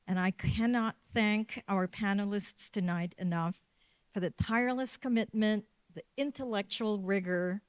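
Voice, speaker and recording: A woman whose first language is Filipino, giving formal, measured closing thanks as a curator. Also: mid-range voice, no echo. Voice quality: formal, measured delivery reading a list of thanks. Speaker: senior director of curatorial affairs. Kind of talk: prepared closing remarks.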